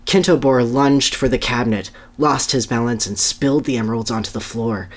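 A podcast host giving an animated dramatic reading of a story, with amused asides. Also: medium pitch, a little fast.

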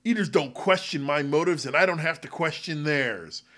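A man's voice: on the high side, normal speed, fairly bright tone, pitched above the performer's own voice, natural voice. A man playing a bitter, self-justifying former salesman confessing in a first-person apocalypse story, with a sardonic, self-pitying manner. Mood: bitter, ranting. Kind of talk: dramatic first-person audio fiction monologue.

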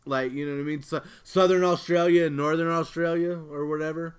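A man with a slightly high voice hosts a relaxed podcast conversation. He talks casually, joking and inquisitive with the others. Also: brisk, clean tone. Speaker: podcast host. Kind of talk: casual chat.

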